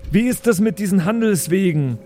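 Man as a high-voiced, easygoing adventurer over music. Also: animated, fairly quick, dark tone. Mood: curious. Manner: colloquial, conciliatory, questioning. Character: blunt, easygoing male adventurer, placating and curious. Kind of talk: improvised pen-and-paper audio play.

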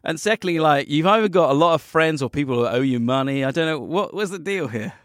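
A man's voice: fairly high pitch, lively intonation, fast, no echo, studio quality. A man hosting a casual podcast interview, joking about himself as he goes. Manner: jokey, self-deprecating. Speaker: podcast host.

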